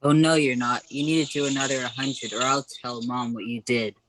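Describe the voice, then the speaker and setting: A woman's voice: a bass voice, fairly even intonation, moderate pace, slight background noise, rough recording. A woman playing a bossy, scheming ten-year-old boy in an amateur radio play. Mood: bossy, threatening.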